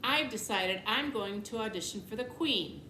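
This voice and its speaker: A woman's voice: fairly high pitch, expressive, normal speed, bright, forceful tone. A woman voicing a sarcastic, whiny, dramatic older sister in a children's storybook, dismissive and exasperated. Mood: chatty. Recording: echoey room, clear background noise.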